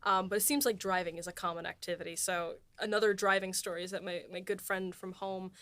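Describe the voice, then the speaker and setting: A woman's voice: medium pitch, steady tone, fast, fairly bright tone, dry recording. A chatty woman with a mid-range voice talks on a podcast. She laughs easily and fills her sentences with "like". She sounds close and dry. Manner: chatty, laughs a lot, many "like"s. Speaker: writer co-hosting a podcast. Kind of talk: casual behind-the-scenes chat.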